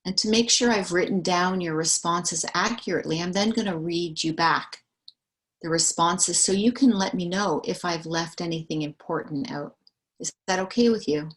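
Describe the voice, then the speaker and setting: Calm, methodical woman interviewer, lower voice. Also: normal speed. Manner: calm, methodical, reads questions and summaries back. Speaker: survey interviewer. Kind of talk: structured interview.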